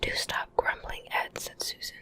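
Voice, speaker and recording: A woman speaks entirely in a soft, breathy whisper. She has the gentle, hushed delivery of an ASMR bedtime reader. The close stereo mic places her voice right at the listener's ears. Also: moderate pace. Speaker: ASMR host reading a bedtime story. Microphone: close stereo mic, ear to ear.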